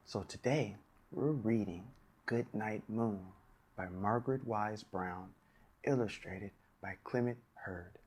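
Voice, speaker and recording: A man hosting a story time, addressing young children like a classroom teacher in clear, simple phrases. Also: lowish voice, slow, a little mellow. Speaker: children's read-aloud host, teacher.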